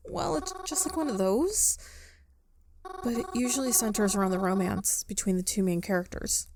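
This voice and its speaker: A woman's voice: mid-range voice, normal speed, natural voice. A woman acts out a timid, bookish young heroine of a visual novel. She is hesitant and easily flustered, tripping over her words in a mid-range voice. Slight noise sits behind her. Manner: hesitant, soft-hearted, stammering.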